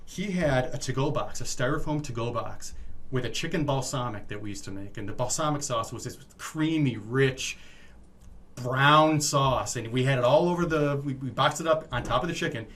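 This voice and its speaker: A man's voice: medium pitch, normal speed, a bit bright. A man telling casual anecdotes in conversation, acting out the dialogue as he goes. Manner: acts out dialogue while storytelling. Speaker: storytelling guest, former waiter.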